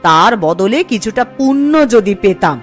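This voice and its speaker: A woman's voice: medium pitch, animated, moderate pace, lightly acted. A woman playing a scolding, principled village mother in a cartoon story, with a nagging, homely manner. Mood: exasperated. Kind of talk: Bengali cartoon story acting. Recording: music behind the voice. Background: background music.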